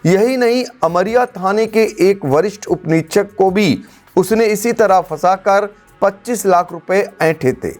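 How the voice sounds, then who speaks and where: A news narrator reading a scripted crime report in a dramatic, emphatic manner. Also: mid-range voice. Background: background music.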